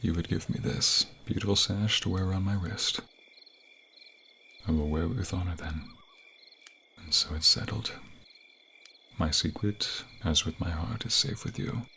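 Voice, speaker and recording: A man's voice: low voice, a little slow, vocal fry, pauses often, sharp tone, a little hiss or hum, full character voice. A man playing a tender king who confides in a secret lover, speaking intimately in formal courtly phrases for a romantic fantasy ASMR role play.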